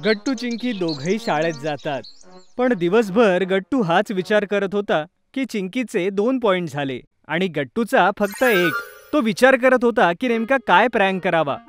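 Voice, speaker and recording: A woman tells a children's story as a scripted narrator. Her pitch is middling, and music runs behind her voice. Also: expressive, normal speed.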